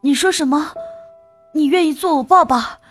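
A woman's voice: sits high, moderate pace, faint music behind, lightly acted. A woman playing a hurt, earnest little boy in a radio drama, with a childlike, plaintive manner. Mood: hopeful, timid.

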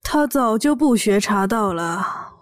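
A woman acting a sharp-tongued, nosy, know-it-all mother in an audio drama. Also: mid-range voice, lively intonation, slow pace. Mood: needling.

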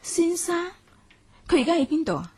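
A woman's voice: very high-pitched, very animated, normal speed, darkish tone. An actress playing a self-possessed young woman novelist in a radio drama, with a Hong Kong Cantonese accent. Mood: composed. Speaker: lead actor and narrator. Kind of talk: radio drama acting and narration. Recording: music behind.

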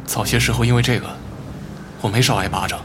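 An actor playing a guarded, grieving son in a Chinese audio drama, speaking in a measured, reflective way. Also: male voice, medium pitch, steady tone, lightly acted. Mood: somber, reflective. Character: grieving, guarded adult son of a wealthy family. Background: prominent background music.

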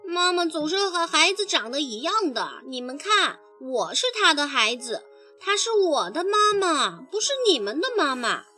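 A woman's voice: high voice, very animated, normal speed, bright, forceful tone, soft background music, heavily acted. A woman voicing a proud, indignant little turtle child who insists emphatically, in a children's story.

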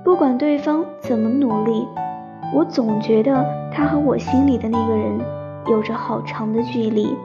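Shy young heroine of a romance audio drama, played by a woman: high-ish, soft, sentimental, piano underscore. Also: normal speed, close to an everyday voice. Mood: wistful, tender. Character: shy romantic young woman narrating her love story. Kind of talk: Chinese radio drama, sentimental first-person narration. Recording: slightly dull sound under piano music.

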